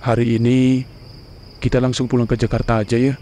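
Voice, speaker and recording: A man voicing a worried young husband in a horror cartoon, who speaks in a calm, flat, reassuring way. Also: mid-range voice, fairly quick, natural voice.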